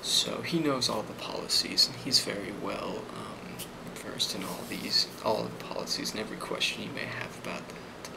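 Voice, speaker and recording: A man playing a friendly, rambling office boss in an ASMR role play, speaking softly and hesitantly with a reassuring manner and a creaky voice. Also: fairly low pitch, normal speed, few pauses. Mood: calm, reassuring. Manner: soft-spoken, hesitant, reassuring. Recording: loud constant background noise.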